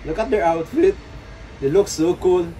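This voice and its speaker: A man's voice: high voice, moderate pace, dark tone, soft background music. A man in his thirties with a Filipino accent gives casual, enthusiastic, admiring reaction commentary as a video host. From Philippines. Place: small plain indoor room.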